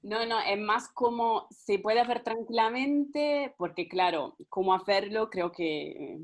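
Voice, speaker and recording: A woman's voice: mid-range voice, slow pace. A woman with an Italian accent, speaking Spanish as a second language, asking a hesitant question during an online seminar. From Italy. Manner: hesitant, searching for words. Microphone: video call.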